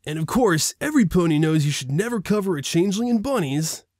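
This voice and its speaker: A man's voice: high voice, lively intonation, fast talker, a little mellow, no echo, studio quality, pitched above the performer's own voice. A man voicing a solemn, heroic royal cartoon pony in a dramatic, declamatory manner. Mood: grim.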